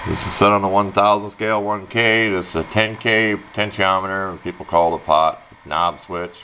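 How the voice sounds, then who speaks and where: A man's voice: low voice, fairly even intonation, moderate pace. A man giving an informal hands-on electronics tutorial in a folksy, rambling way. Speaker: hobbyist demonstrating electronics. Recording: dull lo-fi sound, faint background noise.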